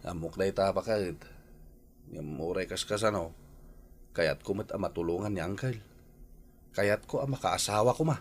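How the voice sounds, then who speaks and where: An actor with a Filipino accent playing an earnest, worried nephew in a radio drama, pleading respectfully. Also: male voice, sits low, moderate pace, long pauses. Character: earnest, worried married nephew, gently reproachful.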